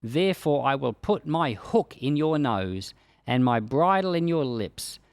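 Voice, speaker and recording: A man reading scripture aloud in a solemn, declamatory way, with deliberate emphasis on key phrases. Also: medium pitch, lively intonation, dry recording. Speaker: pastor reading a Bible chapter aloud for a podcast.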